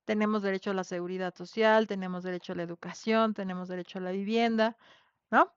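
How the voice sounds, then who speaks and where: A woman's voice: mid-range voice, fairly even intonation, fast talker, clear, resonant tone, no room sound. Female Mexican lecturer, conversational, checks in with students often, dry and dull lo-fi online sound. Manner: conversational, frequent tag questions. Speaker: teacher leading an online class. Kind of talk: informal lecture with questions to students. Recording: dull lo-fi online class audio.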